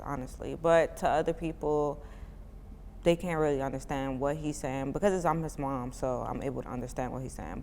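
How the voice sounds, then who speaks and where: A young mother in her twenties from Miami answers interview questions in a loose, chatty way, dropping "like" into almost every sentence. Her voice sits low for a woman. A long reverb trails each phrase, with a faint hiss of noise underneath. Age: 20s. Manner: chatty, many "like" fillers, relaxed informal speech. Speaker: parent giving a testimonial.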